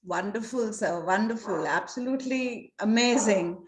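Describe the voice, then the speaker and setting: A woman's voice: medium pitch, moderate pace. A woman with an Indian accent hosting a conversational interview, recorded with a roomy echo. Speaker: interview host. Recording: roomy, echoing sound.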